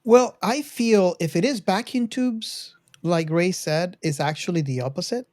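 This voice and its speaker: A man chatting casually on a discussion show, often halting and repeating his phrases. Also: high-pitched, darkish tone, dry recording, clean studio sound. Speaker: podcast host or panelist. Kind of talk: casual chat.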